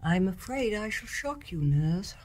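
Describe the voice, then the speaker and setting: An older woman with an English accent playing a wise, composed, forgiving mother-in-law in a radio drama, measured and frank. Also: mid-range voice, sing-song. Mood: reflective, frank. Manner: measured, articulate, gently frank. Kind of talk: stage-play radio drama acting.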